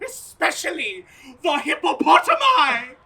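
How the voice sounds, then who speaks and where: A man's voice: extremely high pitch, wildly swooping pitch, a little slow, gravelly, pushed and bright, far from the mic, voice pushed up, heavily acted. A man voicing a dramatic, indignant farmer's wife in a comedic stage radio play. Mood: frightened, prim. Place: outdoors, parking lot stage.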